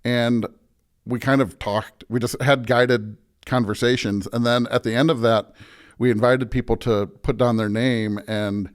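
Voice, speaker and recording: A man's voice: mid-range voice, fairly even intonation, a little slow, smooth. An American man, a pastor, talking casually and reflectively as an interview guest, circling back to rephrase as he thinks aloud.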